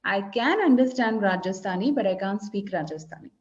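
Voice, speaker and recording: A woman from Kerala with an Indian English accent, whose first language is Malayalam, chatting and tutoring slowly and encouragingly on a video call. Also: medium pitch, animated, lo-fi sound, slight background noise. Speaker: English conversation teacher. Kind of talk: casual tutoring chat.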